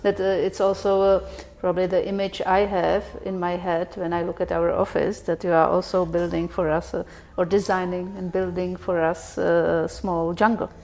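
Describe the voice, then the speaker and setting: A woman with a slightly low voice hosts a podcast interview. She talks in a chatty, conversational way and encourages her guest with follow-up questions. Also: flat delivery, normal speed, hardly any pauses, a little mellow. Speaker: podcast host.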